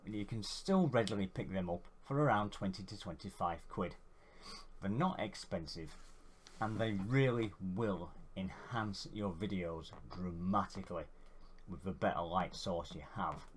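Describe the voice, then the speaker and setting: A man's voice: low voice, unhurried, a little hiss or hum. A man with a head cold and a congested voice, giving a rambling, casual how-to vlog. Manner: rambling, chatty, self-deprecating. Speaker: YouTuber.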